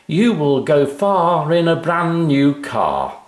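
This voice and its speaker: A man voicing a bouncy, stubborn little girl in a children's story, chanting loudly in sing-song. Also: a little high, big pitch swings, takes it slowly, roomy sound, pitched above the performer's own voice. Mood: sing-song, gleeful.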